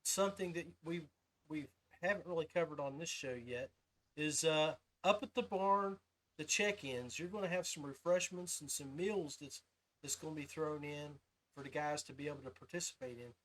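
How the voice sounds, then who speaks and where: A man with a somewhat high-pitched voice hosts a casual podcast interview. He chats informally and readily chimes in with agreement and approval. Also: moderate pace, a bit bright, low-quality recording. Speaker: podcast host.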